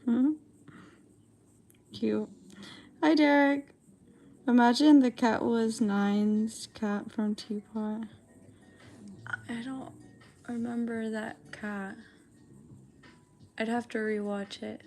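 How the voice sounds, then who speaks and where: A young woman with a raspy, dry-throated voice, chatting casually and rambling with lots of fillers as she draws. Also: on the high side, steady tone, slow pace, long pauses, darkish tone, slight background noise. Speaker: digital artist streamer. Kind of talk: casual chat while drawing.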